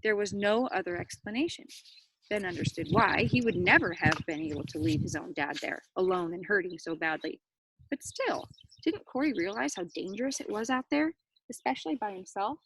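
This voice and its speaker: A woman reading a children's story aloud, with expressive dramatic emphasis and brief explanatory asides. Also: mid-range voice, normal speed, poor audio. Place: outdoors near cows. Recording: faint background noise.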